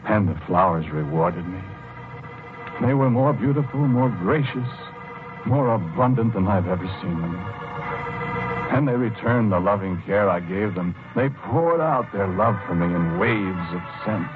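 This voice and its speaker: Low-voiced, dreamy husband, old radio. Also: male voice, animated. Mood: dreamy, wistful. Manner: halting, wistful, musing. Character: dreamy, timid husband obsessed with his lilies. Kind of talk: 1940s radio drama acting, first-person narration and dialogue. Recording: muffled old radio recording, music behind.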